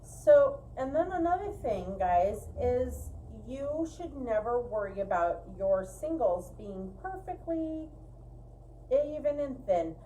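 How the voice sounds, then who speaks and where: Woman, mellow tone, casual tutorial chat, emphatic, livestream co-host, faint background hum. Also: on the high side, sing-song, takes it slowly, poor audio. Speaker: co-host of a fiber arts livestream.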